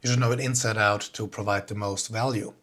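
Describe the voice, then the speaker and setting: A man's voice: on the low side, fairly even intonation, a little fast, a bit bright. A man with a Swiss accent giving an informal online talk as a composer, explaining things in a plain-spoken way. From Switzerland.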